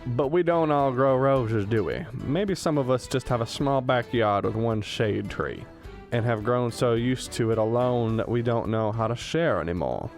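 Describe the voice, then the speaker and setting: A man's voice: mid-range voice, normal speed, faint music behind, natural voice. A man playing a cranky, rueful old professor in a comedic radio drama, grumbling in a plain, folksy, self-deprecating way. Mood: wistful. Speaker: student radio drama actor.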